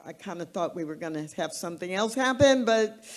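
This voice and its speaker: A woman moderating a panel informally, thinking aloud in an unhurried way. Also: on the low side, lively intonation.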